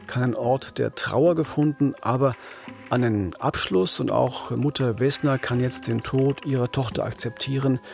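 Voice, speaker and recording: A man's voice: medium pitch, normal speed, vocal fry. A man, talking as a journalist and co-host on a true-crime podcast.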